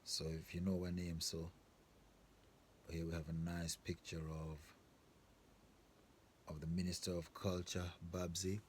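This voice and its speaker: Very deep-voiced, emphatic man, noisy background. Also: completely flat delivery, a little slow, pauses often. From southern Africa. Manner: emphatic, repetitive, checks with rhetorical questions. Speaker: host. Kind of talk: informal opinionated monologue to viewers. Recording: clear background ambient noise.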